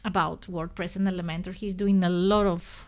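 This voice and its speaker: A woman's voice: lowish voice, little pitch movement, moderate pace, a little mellow. A woman with a Greek accent, a native Greek speaker, hosting a casual live stream and thinking aloud with many restarts and self-corrections. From Greece.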